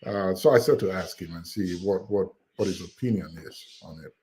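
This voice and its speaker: A man's voice: low voice, normal speed, darkish tone. A man from Nigeria with a Nigerian accent, talking through his views in a panel discussion with many fillers and restarts. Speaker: panel participant. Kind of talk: casual discussion. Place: United States.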